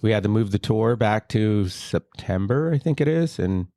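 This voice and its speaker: A man in his fifties, a guest in casual chat over beers, laughing often and pausing with ums. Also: fairly low pitch, expressive, dark tone, dry recording, studio quality. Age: 50s. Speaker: trombone player, guest.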